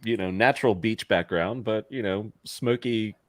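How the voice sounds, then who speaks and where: A man's voice: fairly low pitch, normal speed, no room sound. A man hosting a casual crypto livestream, joking with his guests and wrapping the show up. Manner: laid-back, joking, steering the wrap-up. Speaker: show host. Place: home setup, smoky wildfire haze outside.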